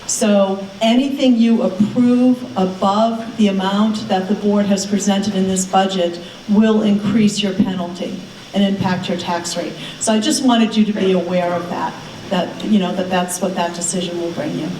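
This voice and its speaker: A woman's voice: medium pitch, steady tone, moderate pace, warm tone. Woman official speaking in an echoey hall. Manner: clear, cautionary. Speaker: assistant superintendent. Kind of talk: official remarks at a meeting. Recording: echoey hall, far from mic, faint noise.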